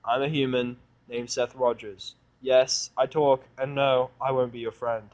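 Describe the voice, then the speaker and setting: A man's voice: medium pitch, flat delivery, a little slow, darkish tone, dull audio, lightly acted. Man playing an irritable sarcastic human guy. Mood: curt. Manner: sarcastic, blunt, scornful.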